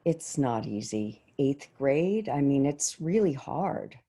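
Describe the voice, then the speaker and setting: Actress doing a earnest female middle school teacher, mellow voice, thoughtful pauses, shaken, stage monologue over video call. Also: extremely low pitch, expressive, exaggerated voice. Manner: reflective, halting, deliberate pauses.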